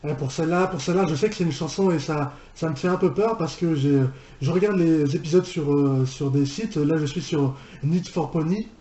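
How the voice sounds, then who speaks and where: A man hosts a reaction video in an informal, think-aloud way, often repeating phrases. His voice sits a little high with a soft, mellow tone. The sound is roomy and echoey. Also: normal speed, few pauses. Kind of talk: casual video commentary.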